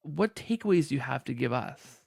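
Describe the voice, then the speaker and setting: A man's voice: mid-range voice, animated, normal speed, creaky, dry recording. A man hosting a conversational interview, feeling his way through long questions with repeated words and restarts. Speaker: podcast host interviewer.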